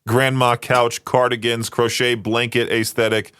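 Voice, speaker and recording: A man's voice: mid-range voice, little pitch movement, measured pace, a bit bright, smooth, dry recording. A man hosting a radio show, chatting casually and joking while reading prompts aloud. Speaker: radio host. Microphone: studio mic. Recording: dry studio sound.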